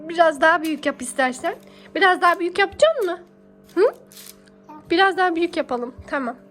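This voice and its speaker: A young mother with a high-pitched voice chats casually to her small child. She coaxes and praises with affectionate pet names, often repeating her phrases. Soft music plays underneath her voice. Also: lively intonation, brisk. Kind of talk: casual coaxing talk to a small child while baking.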